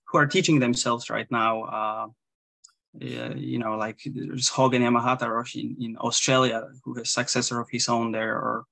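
A man in his thirties with a Polish accent, a Zen priest talking as a guest over a video call, halting and full of restarts and fillers. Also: mid-range voice, level tone, creaky, bright, forceful tone, no echo. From Poland. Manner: hesitant, many restarts and fillers. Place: small room indoors. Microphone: video call webcam.

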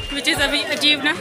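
A young woman with a high voice chats and laughs as she vlogs, holding the phone at arm's length. Loud crowd noise and music fill the background. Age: young adult. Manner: chatty, laughs often. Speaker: vlogger. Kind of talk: casual walking vlog. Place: busy outdoor market street. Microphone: phone camera at arm's length.

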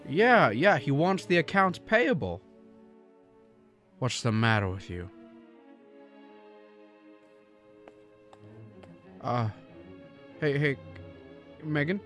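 An earnest American small-town businessman played by a male actor in a radio play. His voice sits a bit high and swings widely, folksy and emphatic, with faint music in the recording. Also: sing-song, takes it slowly, long pauses, a bit bright, exaggerated voice. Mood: hurried, worried. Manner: folksy, emphatic, stammering when pressed. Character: earnest small-town businessman, kindly but increasingly frantic. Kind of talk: radio drama acting.

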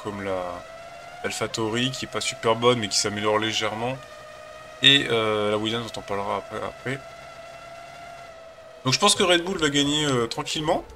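A man's voice: medium pitch, moderate pace, long pauses, bright, forceful tone, slight background noise. A man with a cold chatting casually as a gaming channel host, rambling and hesitant while he plays a racing game. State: has a cold.

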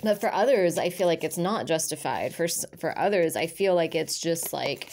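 A woman with a slightly low voice gives a casual tarot reading, her nose stuffy from allergies. She rambles and circles back often, filling gaps with "like" and "um." She talks over the cards at her table. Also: normal speed, a little mellow, a little hiss or hum. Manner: rambling, hesitant, many fillers and restarts. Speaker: tarot reader.

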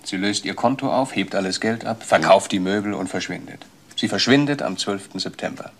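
An actor playing a brisk detective summing up facts in a crime radio play. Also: male voice, fairly low pitch. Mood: pondering.

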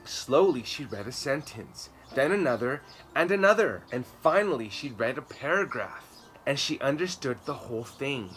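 A man's voice: mid-range voice, very animated, a bit bright. Man reading a storybook aloud outdoors, birdsong. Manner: dramatic, with slow emphatic words and repeats. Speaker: children's storytime reader and host. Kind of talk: expressive read-aloud storytelling. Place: outdoor garden patio. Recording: clear background noise, outdoor birdsong. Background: birds, outdoor ambience.

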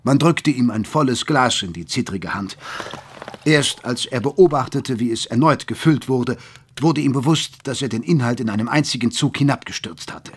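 A man's voice: medium pitch, normal speed, a little hiss or hum, close to an everyday voice. A man playing a grave, literary Victorian chronicler, narrating an audio drama in a solemn storytelling manner. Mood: grave narration.

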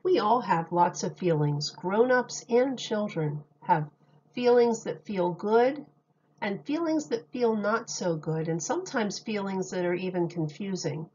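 A woman reads a children's picture book at an unhurried, slow pace. She speaks like a teacher, in simple phrases with questions to little listeners. The recording is slightly dull and lo-fi. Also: mid-range voice, lively intonation, a little mellow, a little hiss or hum. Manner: simple, deliberate phrasing addressed to small children. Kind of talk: children's read-aloud with questions to young listeners.

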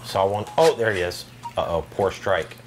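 A man's voice: sits low, lively intonation, moderate pace, slight background noise. A man playing a video game and commentating casually, in an exasperated, self-deprecating, slangy way. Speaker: video game player and channel host.

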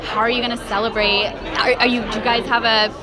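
A German-born woman reporter asks questions into a handheld mic in a bright, gushing way, her voice pitched a little high. She is a native German speaker. A loud crowd murmurs all around the big hall. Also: flat delivery, fast talker. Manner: bubbly, gushing. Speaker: entertainment reporter. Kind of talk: red-carpet interview questions. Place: indoor event press wall, large hall. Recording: loud crowd chatter behind.

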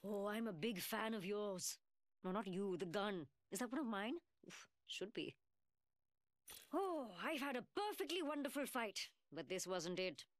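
An actress voicing a cocky, mocking young woman gunsmith in a video game, with a British working-class London accent and a cheeky, sarcastic manner. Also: a little high. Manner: taunting, slangy, sarcastic. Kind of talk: video game character voice lines.

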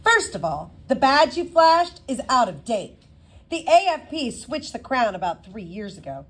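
Storybook schoolgirl, acted by a woman: cheeky, dryly witty, very animated delivery. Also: sits high, a little slow, husky, voice pushed up, heavily acted. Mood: smug teasing. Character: cheeky, self-assured schoolgirl with sly wit.

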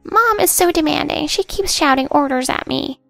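A woman's voice: moderate pace, vocal fry, a bit bright, no echo, soft background music. A woman voicing a pushy, entitled middle-aged woman in a storybook narration, speaking in a bossy, smug manner. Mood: desperate, whiny. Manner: bossy, smug, later shrill and pleading.

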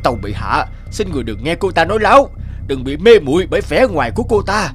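A man's voice: fairly high pitch, sing-song, quick pace, faint music behind. A man voicing a villainous, blustering royal chancellor in a cartoon fairy tale, sly in manner. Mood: pleading, deceitful.

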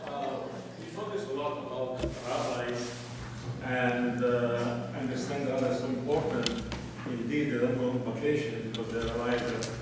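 A man from Egypt in the audience asking a halting question full of fillers. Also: mid-range voice, steady tone. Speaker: audience member. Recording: distant, echoey hall with hum.

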